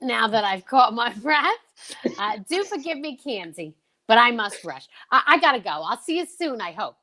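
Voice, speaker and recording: A woman's voice: on the high side, animated, normal speed, bright, forceful tone. A woman playing a polite, grateful, easily flustered lady friend in an old-time radio show, gracious and slightly fluttery.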